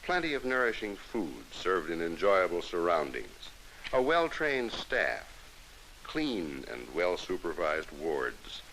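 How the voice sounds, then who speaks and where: A middle-aged American man narrating a scripted 1960s educational film in a measured, formal, instructive manner, on an old film soundtrack. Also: deep voice, big pitch swings, sharp tone, a little hiss or hum, rough recording.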